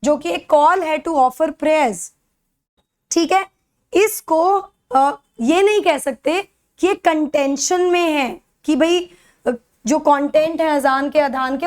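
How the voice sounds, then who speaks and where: A woman's voice: high-pitched, a little slow, darkish tone. A woman with an Indian accent giving an explanatory lecture as a teacher, in an instructional way, mixing in English and checking that listeners follow. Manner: instructional, checks understanding with short tag questions. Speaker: educator for civil service exam courses.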